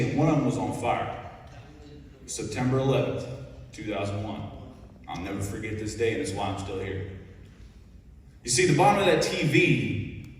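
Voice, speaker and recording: A man in his twenties from Ohio, with an Ohio accent, speaking to a school assembly in a relaxed, conversational way and often checking in with his listeners. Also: medium pitch, distant mic. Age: 20s. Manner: folksy and conversational, keeps checking in with the audience. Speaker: Navy petty officer, guest speaker. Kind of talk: informal speech at a school assembly. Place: large echoing school hall. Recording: echoey hall recorded from afar.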